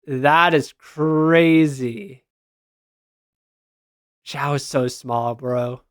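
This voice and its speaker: A man's voice: on the high side, extremely slow, fairly bright tone, no echo, studio quality. A man giving casual, slangy reaction commentary as a gaming content creator, responding aloud to what he reads.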